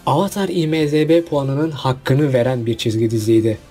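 A man's voice: mid-range voice, steady tone, normal speed, over music. A man narrating a casual countdown video about cartoon finales in a chatty voice-over.

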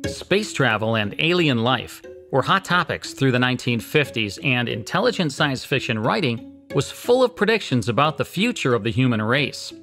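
A man's voice: mid-range voice, sing-song, moderate pace. A man in his fifties hosting a podcast from a small studio set, giving a scripted introduction. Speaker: podcast host. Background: clear music.

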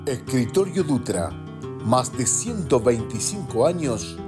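A man's voice: medium pitch, big pitch swings, slow. A man reading a scripted advertisement as an announcer. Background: guitar music.

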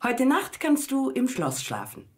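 A woman's voice: high voice, huge pitch swings, measured pace, a little mellow, heavily acted. An actress playing a practical, commanding middle-aged queen and mother in a fairy-tale radio play, motherly yet curt with servants. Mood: sceptical.

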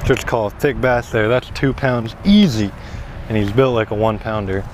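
A young man fishing at a pond and talking to camera, exclaiming loudly each time he hooks a fish. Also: lowish voice, moderate pace. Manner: excitable, exclaims at each catch. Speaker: fishing vlogger. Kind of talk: casual first-person fishing vlog. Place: outdoors at a pond by a large building. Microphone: head-mounted action camera. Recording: noisy outdoor action-cam audio.

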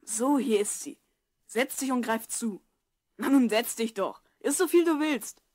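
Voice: medium pitch, expressive, unhurried, a little mellow, no echo. A performer playing an eager, curious boy of twelve in an audio drama. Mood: friendly, eager. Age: child character, twelve. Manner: eager, questioning. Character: Mark, curious, helpful twelve-year-old boy. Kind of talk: German audio drama acting.

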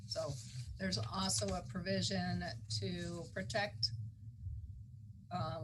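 A woman with a somewhat low voice explains policy as a county official at a board meeting. Her delivery is measured and halting, and the meeting-room recording carries clear background noise.

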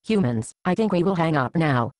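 Woman as a low-voiced, pompous robot conqueror. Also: expressive, normal speed, darkish tone, dry recording. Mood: menacing. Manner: pompous, formal, declamatory. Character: grandiose, coldly logical robot conqueror. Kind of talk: scripted animated comedy dialogue.

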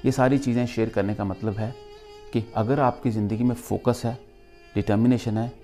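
A middle-aged man with a Pakistani accent gives an earnest, emphatic motivational talk to camera in deliberate, paused phrases. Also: mid-range voice, fairly even intonation, dark tone, faint music behind. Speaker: vlogger, motivational speaker.

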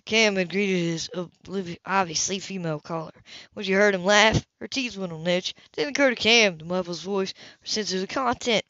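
A woman's voice: mid-range voice, normal speed, fairly bright tone, no echo. Woman reading romance audiobook at home style, amateur, trips on words sometimes, dry muffled recording. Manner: stumbles over and repeats words. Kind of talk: reading a romance novel aloud. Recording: dull lo-fi recording.

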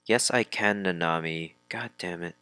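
A man's voice: sits low, a little slow, sharp tone, clear, resonant tone, no room sound, soft background music. A man giving casual let's play commentary, reading dialogue aloud and muttering informal asides to himself. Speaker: video game let's player.

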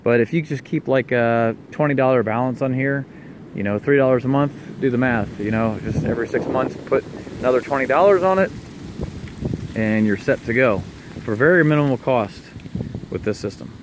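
An American man talks through a home DIY project in a casual, unscripted way. His voice sits at a medium pitch, and he is recorded outdoors on a handheld camera. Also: normal speed, background noise. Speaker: DIY video maker. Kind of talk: casual unscripted product explanation. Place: outdoors in a leafy wooded yard.

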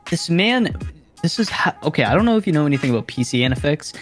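A young man with a fairly high voice riffs on gameplay clips, cracking jokes and breaking into quick explanations. Faint music plays behind him. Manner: chatty, jokey, explains things, stammers when excited. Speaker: co-host reacting to gameplay clips. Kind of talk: casual reaction commentary.

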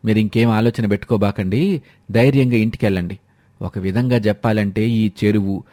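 A man's voice: mid-range voice, dark tone, no echo, natural voice. Man playing a reassuring poor village farmer.

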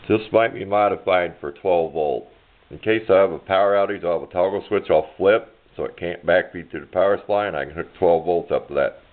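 Low-voiced man on muffled, phone-like audio. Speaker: DIY electronics hobbyist and presenter. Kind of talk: informal hands-on project walkthrough. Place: indoors at a home workbench.

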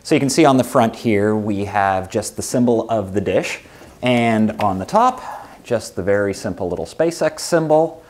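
Man, thirties, unboxing vlog host, enthusiastic, very animated intonation, faint background hiss. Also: fairly low pitch, moderate pace. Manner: enthusiastic, chatty, lively exclamations. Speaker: veterinarian and channel host. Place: living room with wooden table, indoors.

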